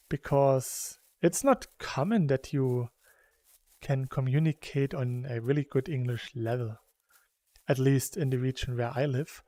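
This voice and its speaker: A man's voice: medium pitch, dark tone, no echo. A man from Saxony, Germany, with a German accent, chatting casually and rambling while playing a game, laughing between thoughts. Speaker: gaming YouTuber.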